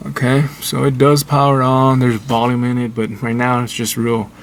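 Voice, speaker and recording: A man giving a casual, unscripted how-to in an informal, halting, repetitive way, like a hobbyist vlogger. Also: medium pitch, monotone, slight background noise. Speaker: vlogger showing a DIY car install. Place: in and around a Jeep.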